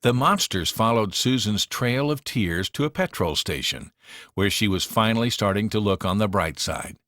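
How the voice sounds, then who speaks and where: A man narrating a children's storybook in an expressive, dramatic storytelling manner. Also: lowish voice, moderate pace, no room sound, studio quality.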